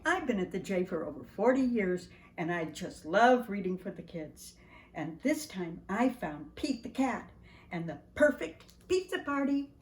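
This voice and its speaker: An older woman reading a picture book aloud to young children in an animated, sing-song way, speaking right to them. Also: medium pitch, measured pace, echoey room. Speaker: preschool staff storyteller reading to children.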